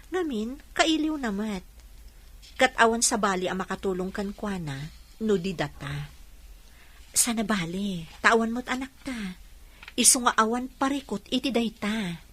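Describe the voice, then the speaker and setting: An actress playing a kind, patient young wife in an Ilocano radio drama, reasoning gently. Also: female voice, fairly high pitch, unhurried. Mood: patient, placating.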